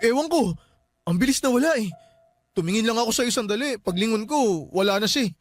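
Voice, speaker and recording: A man's voice: very high voice, expressive, fairly quick. An actor playing a spooked young man at a village wake in a radio drama, startled and questioning in a colloquial way. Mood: uneasy, startled.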